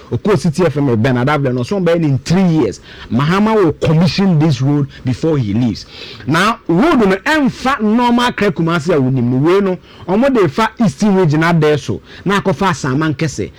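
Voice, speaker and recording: Animated, high-pitched Ghanaian man, emphatic. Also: no echo. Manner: emphatic, repeats points, switches between English and Twi. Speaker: guest analyst. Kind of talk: animated explanatory radio discussion.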